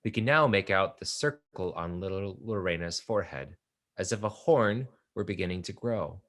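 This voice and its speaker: Very deep-voiced male stage narrator. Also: expressive, moderate pace, fairly bright tone. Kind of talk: scripted narration of a play.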